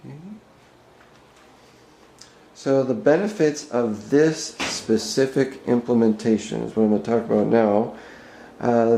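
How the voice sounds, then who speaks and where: A man giving an unscripted, explanatory video tour in a halting, hesitant, plain-spoken way. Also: mid-range voice, warm tone. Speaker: amateur product demonstrator. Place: indoor room with bare walls. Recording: roomy indoor echo.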